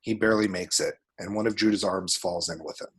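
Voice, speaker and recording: A man's voice: sits low, fairly even intonation, a little fast, fairly bright tone, husky. A man reading out a screenplay's action descriptions at a table read, with vivid, dramatic storytelling. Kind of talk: scripted screenplay narration with spoken sound effects.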